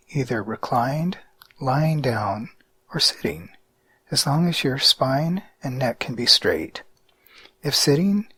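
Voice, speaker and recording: A man guiding a mindfulness meditation in a calm, measured way with deliberate pauses. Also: medium pitch, husky, no echo. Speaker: meditation guide.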